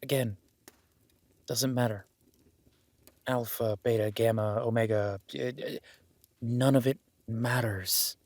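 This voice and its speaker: A young werewolf duke, acted by a man, speaks at a middling pitch in a close, dry recording. He is earnest and self-deprecating, halting as he goes, with an informal way of talking that sits modestly on his title in this intimate audio roleplay. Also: fairly even intonation, a little mellow, no echo.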